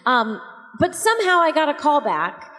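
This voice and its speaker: A woman voice actor on a convention panel, telling stories in a lively, expressive way with quoted dialogue. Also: high voice, moderate pace. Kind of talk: animated anecdote telling. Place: large convention hall. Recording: echoey convention hall.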